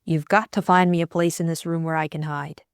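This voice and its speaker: A woman voicing a determined upper-class young lady in an audiobook, brisk, commanding and unflustered. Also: low-pitched, fairly even intonation, clear, resonant tone, dry recording, studio quality.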